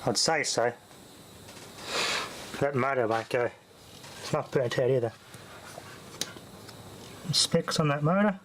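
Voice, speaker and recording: A man's voice: mid-range voice, slow, long pauses, fairly bright tone, a little hiss or hum. A man giving casual, off-the-cuff repair commentary as a hobbyist tinkerer, muttering to himself in short fragments as he thinks aloud.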